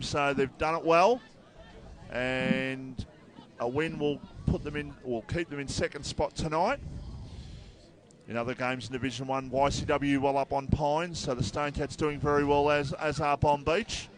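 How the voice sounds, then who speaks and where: A man calling a local football match live, loud and excitable at big moments. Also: on the high side. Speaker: football commentator. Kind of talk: live sports commentary. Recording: outdoor commentary with crowd.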